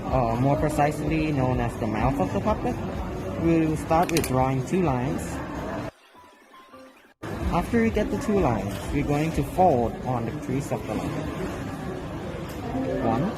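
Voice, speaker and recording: Young man demonstrating over loud music. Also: a little high, animated, measured pace, pauses often, low-quality recording. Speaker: tutorial presenter. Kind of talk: casual craft demonstration.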